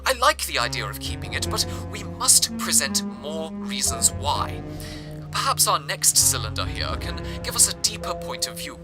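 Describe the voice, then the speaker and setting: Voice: mid-range voice, sing-song, moderate pace, loud music behind the voice, lightly acted. A performer playing a theatrical, sentimental city radio host in an amateur audio drama, with florid phrasing. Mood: theatrical, genteel. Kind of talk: amateur radio drama, scripted broadcast narration.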